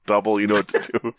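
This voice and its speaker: Lively male podcast host, joking reader, dry close sound. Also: fairly low pitch, no room sound. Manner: joking, laughs often. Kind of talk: reading a story aloud with comic asides.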